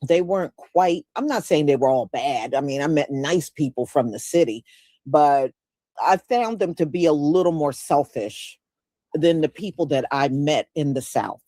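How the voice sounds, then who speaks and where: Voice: mid-range voice, expressive, moderate pace, warm tone, no room sound. Folksy talk-show host, dry close sound. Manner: folksy, storytelling, conversational asides. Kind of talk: casual commentary.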